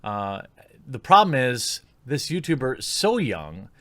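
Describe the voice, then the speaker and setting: A man's voice: mid-range voice, big pitch swings, slow pace, a bit bright, no echo. A man giving casual, opinionated online commentary in a critical, sarcastic manner, recorded on a close mic. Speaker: YouTube commentator.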